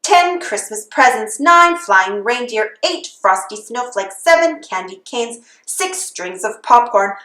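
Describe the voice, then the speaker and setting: High-voiced woman reading a children's storybook. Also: expressive, takes it slowly, hardly any pauses, fairly bright tone, echoey room. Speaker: storybook reader. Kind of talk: children's read-aloud, sung to a Christmas carol tune.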